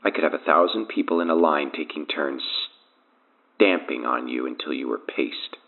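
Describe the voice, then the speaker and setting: A thin phone line carries an arrogant, menacing cult leader from an audio drama. His voice sits extremely low and is husky, and he speaks in a measured, grandiose, taunting way. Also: heavily acted. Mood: calm menace. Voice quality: deep, gravelly, husky.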